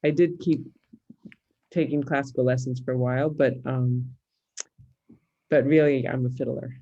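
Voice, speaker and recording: Female interview guest, Californian American, thoughtful and halting conversational delivery, slightly muffled lo-fi recording. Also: very deep voice, fairly even intonation, mellow. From California, United States. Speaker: interview guest, musicologist and fiddler.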